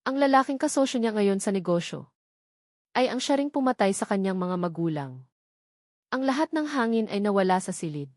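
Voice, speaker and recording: A woman reading a dramatic love story as a steady, scripted narrator. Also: medium pitch, no room sound, studio quality.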